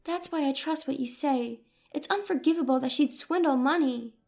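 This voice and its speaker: A woman's voice: high-pitched, normal speed, creaky, darkish tone. A woman voicing an earnest, plaintive young granddaughter and bride-to-be in a narrated story.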